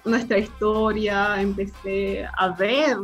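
A young woman with a Chilean accent, a filmmaker chatting casually as an interview guest, relaxed and laughing often. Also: medium pitch, takes it slowly, faint music behind. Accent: Chilean Spanish. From born in Hawaii, raised in Chile. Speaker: guest, filmmaker and film teacher. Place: remote interview from Hawaii.

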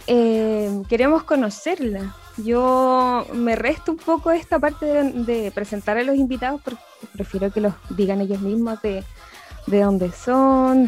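A woman with a Chilean accent hosting an interview, chatty and casual with quick follow-up questions. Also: on the high side, a little mellow. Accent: Chilean Spanish. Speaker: host.

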